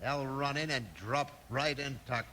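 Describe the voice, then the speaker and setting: An actor playing a short-tempered outlaw gang boss in a 1950s radio western, blustering and commanding in an American western drawl. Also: male voice, medium pitch, measured pace, pushed and bright. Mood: scheming. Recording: hissy, clicking old radio recording.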